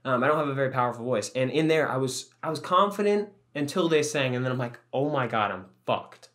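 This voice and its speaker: A man's voice: medium pitch, animated, fairly quick, fairly bright tone. Young man rambling through a joking story. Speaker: podcast co-host. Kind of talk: casual chat.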